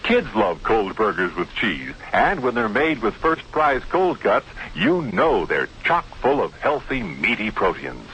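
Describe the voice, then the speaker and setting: A man's voice: fairly low pitch, very animated, a little slow, few pauses, fairly bright tone, muffled recording. An American man reading a scripted 1960s radio advertisement as its announcer. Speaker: commercial announcer. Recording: faintly noisy 1960s radio recording.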